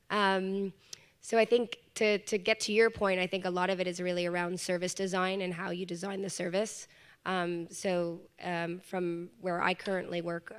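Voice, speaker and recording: A woman with a hoarse voice after an illness, answering on a conference panel in a measured way with frequent ums. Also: on the low side. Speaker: service provider panelist. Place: conference meeting room.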